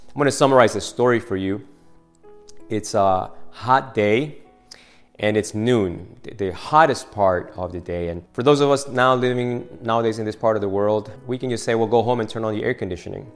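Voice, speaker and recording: A man preaches in a relaxed, conversational way and retells a story with questions for his listeners. His voice sits fairly low, and faint guitar music plays underneath. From Argentina. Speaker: pastor. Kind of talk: Easter sermon retelling a story.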